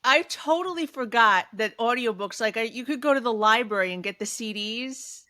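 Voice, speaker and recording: A woman co-hosting a podcast, asking short questions and reacting quickly in casual chat. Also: on the high side, animated, bright, forceful tone, dry recording. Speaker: podcast co-host.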